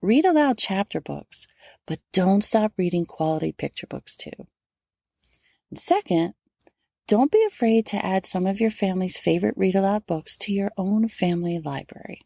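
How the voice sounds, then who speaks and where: A woman's voice: mid-range voice, animated, moderate pace, gravelly, warm tone, no room sound, studio quality. A middle-aged woman hosting a podcast solo, giving instructive advice and speaking directly to her listeners. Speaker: homeschool podcast host.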